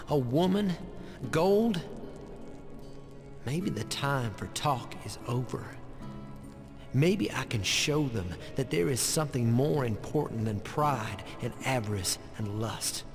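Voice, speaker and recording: A man's voice: mid-range voice, animated, slow pace. A man playing a remorseful, religious younger brother in a Western game, speaking solemnly and earnestly. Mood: anguished. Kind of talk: dramatic game narration and dialogue. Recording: long reverb with music behind.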